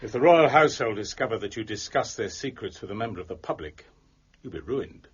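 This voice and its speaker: An actor playing a haughty, self-serving police prefect in an audio drama, pompous and condescending. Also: male voice, lowish voice, expressive, brisk, husky. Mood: veiled threat. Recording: dull, muffled audio.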